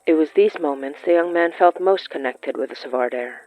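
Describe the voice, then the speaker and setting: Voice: medium pitch, brisk. A narrator reading a scripted short story in a literary storytelling style. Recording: muffled lo-fi sound with music. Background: music.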